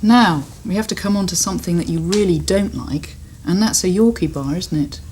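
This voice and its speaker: A woman's voice: fairly low pitch, brisk, a little mellow, slight background noise. A British woman presenting on television in a chatty interview style, playfully teasing. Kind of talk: chatty studio interview with a child.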